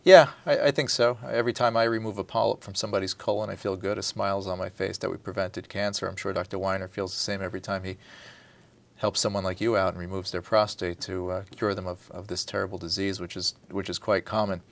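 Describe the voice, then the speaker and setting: A man's voice: low-pitched, little pitch movement, quick pace, creaky. A man from New Jersey hosting a TV health interview as a doctor, talking in a chatty, friendly way with frequent restarts. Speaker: doctor and talk show host.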